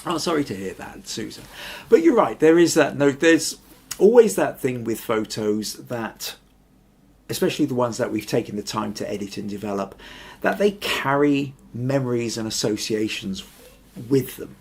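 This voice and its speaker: A man's voice: medium pitch, expressive, moderate pace, gravelly, breathy edge. An older man hosting a photography podcast from a small home room, chatting in a thoughtful, rambling way and reading out viewer comments. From Scotland. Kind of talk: casual live-stream chat.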